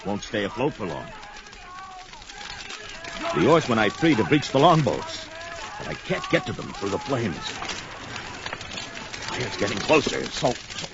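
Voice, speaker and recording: A man's voice: medium pitch, very animated, measured pace, pauses often, background noise. An actor playing a bold, grim barbarian warrior who narrates his own actions melodramatically in an audio adventure drama. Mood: grim, resolute. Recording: dull lo-fi audio drama under music.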